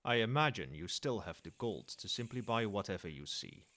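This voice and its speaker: A man's voice: lowish voice, expressive, fairly quick, a bit bright. A man playing a proud old dragon in human form in an ASMR roleplay, speaking in formal, lofty and teasing phrases, with fondness. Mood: brisk, commanding.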